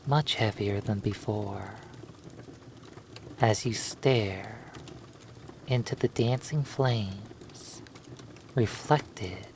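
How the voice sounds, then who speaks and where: Very slow hypnotic storyteller. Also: mid-range voice, a little mellow, slight background noise. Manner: measured, halting delivery in short phrases with long pauses. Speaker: hypnosis roleplay narrator. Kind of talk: slow second-person hypnosis story narration.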